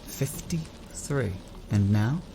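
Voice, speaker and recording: An actor playing a curious, troubled driver in an audio drama, conversational, wondering and wary. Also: male voice, mid-range voice, animated, slow pace, gravelly, over music, poor audio. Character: uneasy, questioning man driver, curious and troubled.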